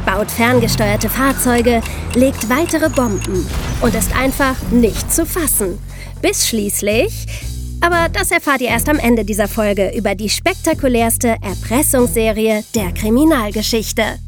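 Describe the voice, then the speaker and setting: Female podcast co-host, on the high side, narrating and chatting over music. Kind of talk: scripted narration and podcast chat.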